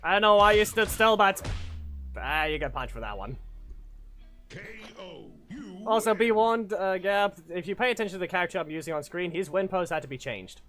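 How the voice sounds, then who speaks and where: A man's voice: high-pitched, moderate pace, long pauses, soft background music. A man giving casual live commentary on a fighting game, calling out moves and mocking opponents. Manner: excitable, calls out moves and trash-talks opponents. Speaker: gaming channel host.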